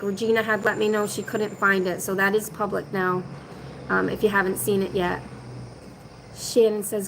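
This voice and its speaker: A woman hosting a casual livestream, her voice raspy from being sick, talking hesitantly with many ums while she walks through a half-packed apartment and reads viewer comments aloud. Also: medium pitch, rough recording. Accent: New England. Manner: slow, walking through rooms listing items. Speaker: vlog host on a livestream. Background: road noise.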